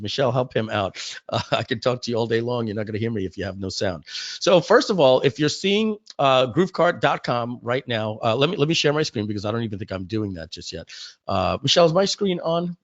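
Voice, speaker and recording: Low-voiced, slightly hoarse man hosting a webinar. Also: expressive, a little fast, no room sound. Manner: chatty, informal, addressing the audience directly. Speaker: company founder hosting a webinar. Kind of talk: casual live webinar chat. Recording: muffled, close dry webinar audio.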